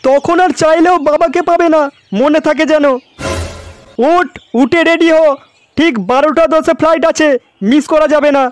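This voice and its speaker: Squeaky pitched-up old cartoon father, acted by a man, nagging, scolding, long-winded, faint noise behind. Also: steady tone, normal speed. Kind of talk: comedy cartoon dialogue.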